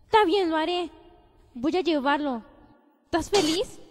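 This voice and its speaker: A woman's voice: very high-pitched, lively intonation, a little slow, a little hiss or hum. A woman playing a sullen, irritable teenage big sister in an anime fan dub, grumbling and curt. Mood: grudging.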